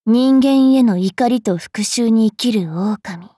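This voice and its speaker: A woman playing a haughty, mischievous young vampire fairy priestess in an anime game, brash and teasing in a rough-spoken way. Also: a little high, takes it slowly, dark tone, no echo, clean studio sound. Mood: somber. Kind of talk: anime game character voice lines.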